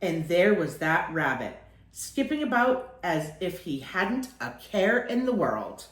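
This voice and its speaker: Woman, children's storyteller, expressive read-aloud, animated pitch swings, slightly low-key echoing room. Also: takes it slowly. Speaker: storyteller reading a children's picture book aloud.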